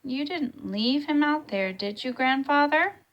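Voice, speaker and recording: A woman's voice: high-pitched, animated, unhurried, a bit bright, muffled recording, voice pushed up. A woman voicing an eager, curious young boy who questions his grandfather in a storybook read-aloud. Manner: exclaiming, questioning.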